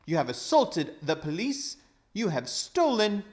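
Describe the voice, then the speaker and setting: High, pompous, indignant older gentleman. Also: very animated, unhurried. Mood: indignant, aggrieved. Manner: fussy, wordy, theatrical outrage. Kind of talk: storybook character voice.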